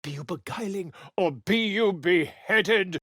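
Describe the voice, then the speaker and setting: An actress playing a sharp, educated female adventurer in a video game, with a dry, probing way of talking. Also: on the low side, measured pace, no echo.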